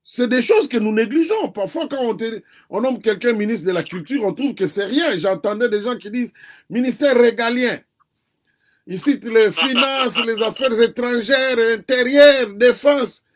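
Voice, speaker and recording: A man with a Congolese French accent talks as an interview guest, his voice sitting notably high for a man. His delivery is deliberate and earnest, like someone laying out a lesson on national unity. He speaks French, though Kikongo was his first language. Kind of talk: political commentary in an interview.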